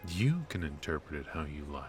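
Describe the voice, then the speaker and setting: A man's voice: a bass voice, very animated, brisk, music in the background. A man playing a cocky, ruthless and protective mafia boss in a noir ASMR roleplay, with an intimate, teasing delivery. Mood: flirty, sly. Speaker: voice actor.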